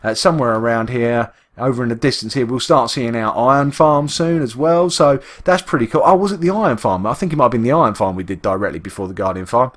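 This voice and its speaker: A man's voice: mid-range voice, fast, few pauses. A man with an East London accent, chatting casually and rambling with lots of restarts while he plays a game. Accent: East London English. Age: adult, works full time. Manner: rambling, chatty, with frequent restarts and self-interruptions. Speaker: Minecraft YouTuber. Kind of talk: casual gameplay commentary.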